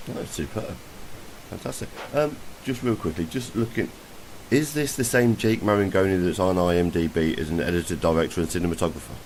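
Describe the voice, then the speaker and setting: A man's voice: low-pitched, a little fast, darkish tone, a little hiss or hum, rough recording. A man hosting a podcast, asking polite questions and answering with short, friendly reactions. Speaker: podcast host. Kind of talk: casual interview.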